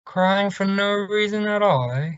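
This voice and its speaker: Blustery, sardonic older radio boss. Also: male voice, sits high, a little slow, smooth, dry recording. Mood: knowing, amused. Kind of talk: 1950s radio sitcom acting. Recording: dull vintage radio recording.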